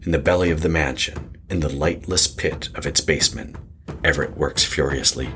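A man giving scripted narration of a horror story in an ominous, measured manner. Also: a bass voice, a bit bright, soft background music.

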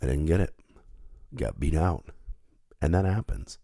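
Stuffy-nosed male podcast host, earnest and reflective, very low voice, mellow tone. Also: sing-song, measured pace, vocal fry, no echo, clean studio sound. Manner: earnest, reflective, conversational with filler words. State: stuffed up with a head cold. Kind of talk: solo podcast monologue.

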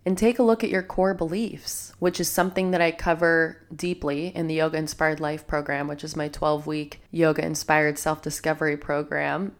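Congested woman hosting a self-help podcast. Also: low voice. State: congested, slightly nasal from a cold. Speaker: podcast host. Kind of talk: reflective solo podcast talk.

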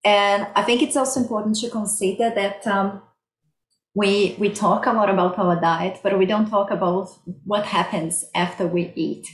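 A woman's voice: mid-range voice, fairly even intonation, normal speed. A middle-aged woman from Brazil with a Brazilian accent, explaining her research plainly as an interview guest. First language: Portuguese. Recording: roomy, echoey sound.

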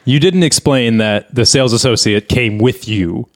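A man's voice: medium pitch, normal speed. A man co-hosting a comedy podcast, critiquing in casual banter with a dry, skeptical manner. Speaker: podcast host.

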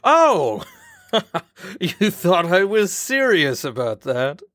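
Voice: medium pitch, very animated, slow pace, dry recording, studio quality. An actor playing a smug, manipulative doctor in an audio drama, with condescending, mock-polite, theatrical phrasing. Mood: mock-pleasant, teasing. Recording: loud music under the voice. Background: music.

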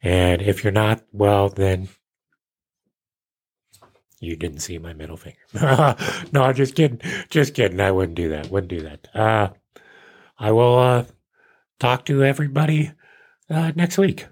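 A man around fifty with a fairly low, husky voice, chatting casually on his own as a host. He rambles loosely and jokes at his own expense. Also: measured pace, pauses often, darkish tone. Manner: self-deprecating, joking. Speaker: podcast host. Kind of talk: casual rambling solo chat.

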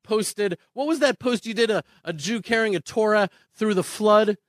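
American male advocate, high voice, dry close sound; impassioned, emphatic public speaking. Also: moderate pace, no echo. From Houston, Texas, United States. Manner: earnest, emphatic, repeats phrases, rhetorical questions. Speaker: speaker advocating immigration to Israel. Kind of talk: impassioned conference speech.

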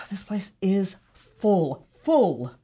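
A woman playing a slow, sighing fellow inmate. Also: medium pitch, big pitch swings, warm tone, husky, heavily acted. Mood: thoughtful. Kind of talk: audio drama acting.

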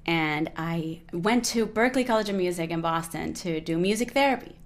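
A woman's voice: on the low side, moderate pace. A Colombian woman in her forties, a native Spanish speaker, chatting in an interview with many fillers like "you know". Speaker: guest musician. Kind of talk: casual interview conversation.

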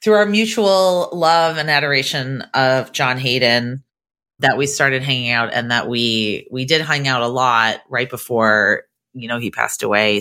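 American woman, podcast host, low register with bright, crisp projection; upbeat, encouraging delivery.

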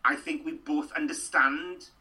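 A man's voice: fairly high pitch, steady tone, a little slow, sharp tone, far from the mic, poor audio. A middle-aged Welsh man from Wales, an actor chatting animatedly in an interview and rambling through stories with lots of restarts. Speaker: actor and guest.

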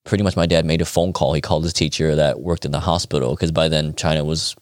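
A man with an American accent speaks in a very low register, recorded dry. He talks like a guest swapping stories with a friend, slangy and casual, slipping into quoted dialogue to act out what people said. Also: quick pace, no echo. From United States. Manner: animated, colloquial, acting out quoted dialogue. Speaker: interview guest, acupuncturist. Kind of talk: casual conversational storytelling.

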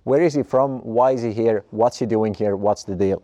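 A man interviewing a guest, asking slow, measured questions. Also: lowish voice, dark tone, dry recording.

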